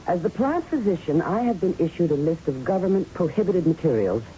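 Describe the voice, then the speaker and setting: A woman's voice: fairly low pitch, very animated, brisk, mellow. An actress playing an earnest, principled young female plant doctor in a radio drama, speaking in a firm, formal, precise manner. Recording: muffled, faintly noisy old radio recording.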